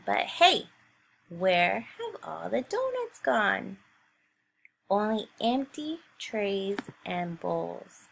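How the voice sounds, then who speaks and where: Expressive woman reading aloud, with creaky voice. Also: mid-range voice, slight background noise, rough recording. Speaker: teacher reading a picture book aloud to children. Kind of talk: read-aloud storytelling for young children.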